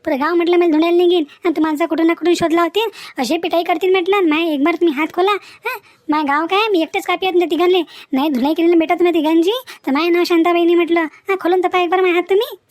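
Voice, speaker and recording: A woman voicing a loud, talkative, feisty village housewife in a comedy cartoon, exclaiming and repeating herself in Varhadi Marathi. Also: extremely high pitch, steady tone, fast, no room sound. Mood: defiant threats. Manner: chatty, repetitive, exclaiming.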